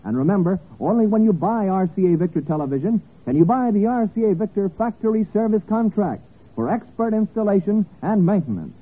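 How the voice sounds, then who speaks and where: A man reading a formal, polished sales pitch as a commercial announcer on mid-century radio. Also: animated, brisk, breathy edge, phone-quality sound. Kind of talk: 1940s-50s radio advertisement read. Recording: thin vintage radio recording.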